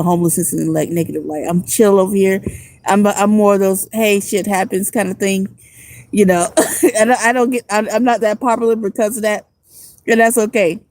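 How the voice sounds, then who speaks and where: A woman's voice: medium pitch, darkish tone, dry recording. A woman who is a homeless vlogger and music producer, chatting casually and rambling in slang on a phone livestream outdoors at night.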